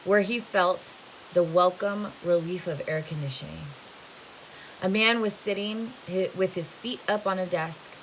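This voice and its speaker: Bright-voiced woman reading a story aloud. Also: mid-range voice, rough recording. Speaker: teacher reading aloud to students. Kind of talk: novel read-aloud narration. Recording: faint hiss behind the voice. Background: faint steady hiss.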